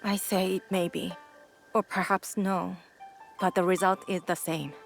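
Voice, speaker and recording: An actress playing a devout shrine priest who speaks formally and cryptically in a video game cutscene. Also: female voice, lowish voice, flat delivery, measured pace, creaky. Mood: cryptic, solemn. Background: music.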